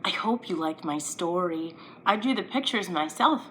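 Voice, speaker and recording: A woman storyteller narrating for young children in an expressive, emphatic way, often leaning on repeated words. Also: fairly low pitch, normal speed, a little hiss or hum, rough recording.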